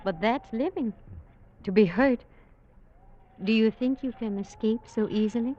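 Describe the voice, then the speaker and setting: An actress playing a frightened, fragile young woman who speaks softly and hesitantly, in an old-time radio drama. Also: a little high, unhurried. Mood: wistful. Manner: soft, pleading and hesitant. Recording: dull old radio recording.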